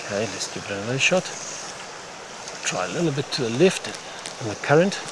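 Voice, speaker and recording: A man giving instructional fly fishing commentary in halting, unhurried phrases. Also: medium pitch, big pitch swings. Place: outdoors on a wooded stream bank. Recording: outdoor stream noise. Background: running water, outdoor ambience.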